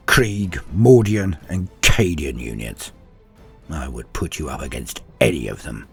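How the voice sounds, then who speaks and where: A man's voice: low voice, darkish tone. A man narrating scripted war fiction in a grave, theatrical manner, letting his phrases fall away at the end. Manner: grave, theatrical storytelling with falling phrase endings. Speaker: narrator and storyteller.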